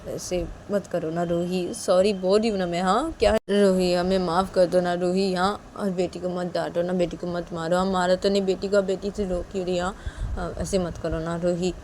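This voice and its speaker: A woman's voice: lowish voice, flat delivery, moderate pace, darkish tone, slight background noise, lightly acted. A woman voicing a timid young father who pleads and stammers anxiously. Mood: pleading.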